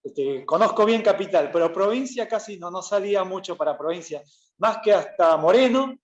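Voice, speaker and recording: Chatty pastor in echoey church. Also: male voice, high-pitched, normal speed. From Peru. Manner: relaxed, chatty, frequent "eh" fillers and self-corrections. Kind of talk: conversational sermon. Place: church hall. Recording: roomy church echo, slightly dull.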